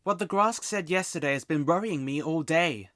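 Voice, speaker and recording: A man's voice: a little high, moderate pace, a bit bright, close to an everyday voice. A man playing an inquisitive, wary teenage boy sidekick, casual and questioning, in an audio drama. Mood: worried. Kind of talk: fan audio drama acting.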